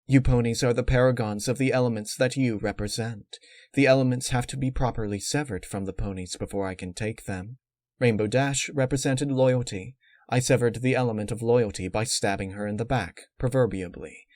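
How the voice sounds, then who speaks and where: A man reads as a cold mare villain in a dark pony tale. The delivery is measured and lecturing, quietly menacing, at a medium male pitch with a very dry sound. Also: dry recording, lightly acted. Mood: cold, explaining. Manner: measured, matter-of-fact, lecturing. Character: cold, calculating female unicorn villain, calmly menacing.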